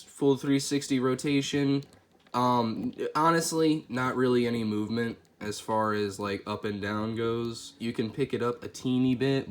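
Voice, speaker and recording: A man with a stuffy nose and a voice cracking from a cold reviews a toy figure in a chatty, easygoing way, thinking aloud as he handles it. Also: mid-range voice. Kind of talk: casual product review. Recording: clear steady background noise.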